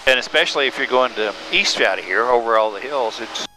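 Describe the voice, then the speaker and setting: A man's voice: medium pitch, fairly quick. A man riding along as a flight examiner, chatting casually over a cockpit intercom. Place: small airplane cockpit in flight. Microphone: aviation headset intercom. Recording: clear aircraft engine noise over intercom.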